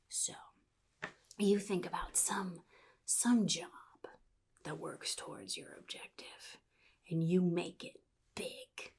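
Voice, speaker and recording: Woman playing a sadistic male comic-book supervillain, gravelly half-whisper, husky, halting drawl, casually menacing mentor, soft ASMR roleplay. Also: fairly low pitch, exaggerated voice.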